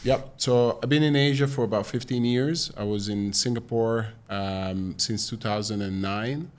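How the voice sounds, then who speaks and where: A man from Italy, a guest on a podcast in a studio, explaining his story in a relaxed way with a few fillers. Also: lowish voice, measured pace. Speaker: guest, business founder. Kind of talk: interview answers.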